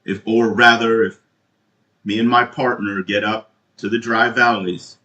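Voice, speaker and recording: A man calling as a customer, asking questions in a halting, earnest and insistent way. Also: lowish voice, flat delivery, pushed and bright, dull audio. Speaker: caller, prospective customer. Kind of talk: phone inquiry, questioning. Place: indoor room, phone call. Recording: roomy echo on a recorded call.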